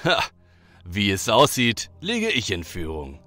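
A man's voice: lowish voice, huge pitch swings, takes it slowly, sharp tone, soft background music, heavily acted. A man playing a smug, scornful thunder god in an audio drama, haughty and mocking. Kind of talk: German audio drama acting.

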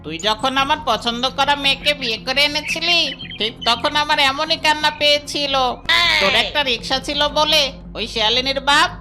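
A woman's voice: high-pitched, moderate pace, pushed and bright, faint music behind. A woman voicing a spiteful, jealous male fox thief in a children's cartoon, taunting and gloating. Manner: taunting, cackling, sneering.

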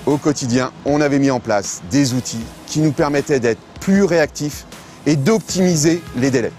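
A man in his fifties, an airport operator executive, speaking formally and deliberately, interviewed outdoors by an airfield. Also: medium pitch, darkish tone. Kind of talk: documentary interview. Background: background music.

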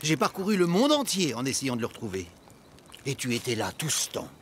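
An actor playing an anxious, plump middle-aged man leading children in a cartoon, flustered and excitable. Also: medium pitch, fairly quick, a little hiss or hum, close to an everyday voice. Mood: moved. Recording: loud music behind the voice.

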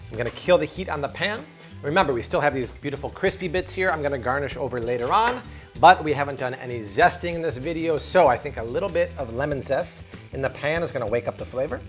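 A man in his thirties hosting a cooking video in a home kitchen, energetic and jokey, chatting with his crew as he cooks. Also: on the high side. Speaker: cooking show host. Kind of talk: upbeat cooking demo.

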